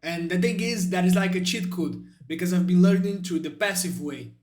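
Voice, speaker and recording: High-voiced Brazilian man, halting vlog talk. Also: darkish tone, echoey room. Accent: Brazilian Portuguese. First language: Brazilian Portuguese. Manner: halting, searching for words. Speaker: YouTuber. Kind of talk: spontaneous talking-head vlog.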